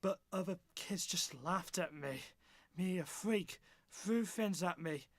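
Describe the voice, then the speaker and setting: Man acting a halting, high-voiced circus outcast. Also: no room sound. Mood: sorrowful confession. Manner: halting, repeats words. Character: wounded circus outcast confessing, mocked and abused since childhood. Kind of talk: radio drama monologue.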